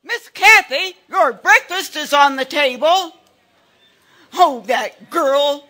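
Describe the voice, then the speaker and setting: A woman plays a fussy middle-aged housekeeper from an old-time radio mystery, her voice very high and bright, swooping wildly. She calls out slowly and wails through sobbing, breathless cries. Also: full character voice. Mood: scolding. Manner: wailing, sobbing, breathless exclamations. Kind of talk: 1940s radio acting. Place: stage, performed live.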